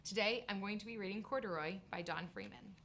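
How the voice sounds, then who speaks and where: A woman teacher narrating a children's picture book with expressive storytelling delivery. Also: medium pitch, a little fast, creaky, slight background noise. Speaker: special education teacher, storybook reader.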